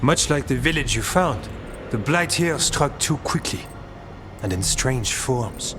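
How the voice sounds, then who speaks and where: An actor playing a solemn, concerned warden in a fantasy video game, speaking seriously and deliberately. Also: male voice, medium pitch, lively intonation, long reverb tail, music in the background, close to an everyday voice.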